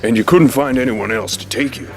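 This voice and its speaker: A man announcing scenes in a fan audio drama and also playing a diner owner, in a flat announcing manner. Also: mid-range voice, a little fast, soft background music, lightly acted.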